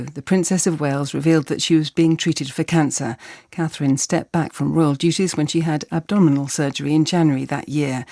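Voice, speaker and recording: A woman reads scripted radio news in a measured, formal way. Her voice has some creak in it, and the sound is dry and close, with no room around it. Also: low-pitched, mellow, dry recording. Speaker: newsreader.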